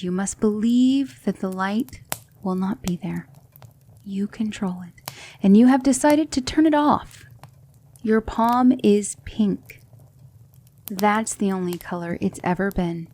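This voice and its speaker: A woman playing a gentle, patient demon mentor in an ASMR roleplay, coaxing her student with soft, deliberate instruction that often drops toward a whisper. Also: medium pitch, slightly rough. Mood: firm, encouraging. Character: gentle female demon mentor teaching magic, patient, reassuring.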